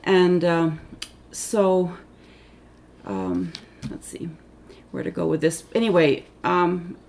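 A middle-aged woman who grew up in Tanzania, with Finnish as her first language, recalls her childhood in a thoughtful, halting way, full of ums and self-corrections. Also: lowish voice, sing-song, creaky, darkish tone, slight background noise. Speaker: interviewee, Africanist scholar. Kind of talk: reflective oral history interview.

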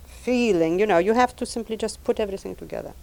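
A woman whose first language is Lithuanian, a professor and translator, talking in a measured, thoughtful way and repeating words as she thinks. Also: medium pitch, gravelly, a little mellow. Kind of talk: recorded oral history interview.

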